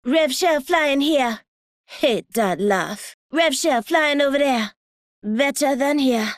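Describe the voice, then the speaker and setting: Uneasy female combatant, game callouts, high, slow, dry. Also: lively intonation, no room sound.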